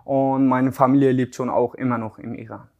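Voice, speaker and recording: A man's voice: mid-range voice, little pitch movement, brisk, mellow, dull audio. Young man, hesitant German. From Afghanistan. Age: 20s. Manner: hesitant, searching for words. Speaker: guest. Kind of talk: casual interview talk.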